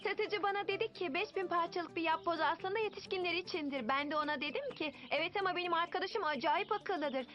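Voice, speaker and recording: A woman's voice: very high-pitched, a little fast, hardly any pauses, highs cut off. A woman voicing a chatty, fussy young girl in a dramatic children's cartoon style. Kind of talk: Turkish-dubbed children's cartoon acting.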